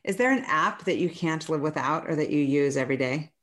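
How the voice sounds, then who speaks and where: A woman's voice: low voice, lively intonation, brisk, a bit bright. A woman in her forties hosting a podcast, friendly and chatty, often laughing as she asks questions. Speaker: podcast host. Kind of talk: casual podcast interview.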